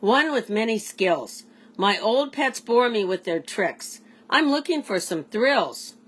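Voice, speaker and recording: Defiant bored little boy, animated swings in pitch, children's storybook character, read by a woman. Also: slow, husky, heavily acted. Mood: bored, eager. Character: bored, defiant young boy who collects pets.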